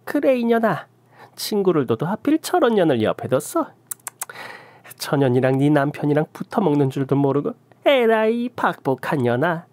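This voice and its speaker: Woman putting on a rude young shaman lady, sneering and drawn out, pitch jumping all over, dry sound. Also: big pitch swings, moderate pace, a little mellow, no room sound. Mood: scolding. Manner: scornful, old-fashioned rough talk, drawn out. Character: brash young female shaman, scolding and coarse.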